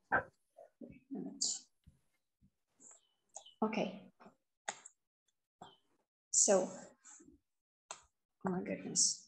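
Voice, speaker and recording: A woman from Kazakhstan giving an online webinar presentation, slow and hesitant with many fillers, heard over a video call. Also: on the high side, darkish tone, echoey room, low-quality recording.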